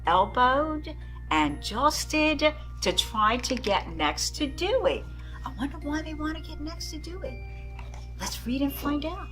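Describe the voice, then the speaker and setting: Lady reading a kids book out loud, slow n expressive, high bright voice. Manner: animated, deliberate phrasing. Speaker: children's story reader. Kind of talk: expressive storybook narration.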